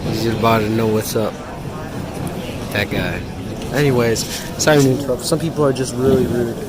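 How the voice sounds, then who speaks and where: A man's voice: mid-range voice, fairly even intonation, normal speed. A young man vlogging outdoors on a campus, joking and mock-boasting in a casual, teasing way. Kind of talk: casual joking vlog chat. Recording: outdoor phone recording with traffic noise. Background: street and traffic noise.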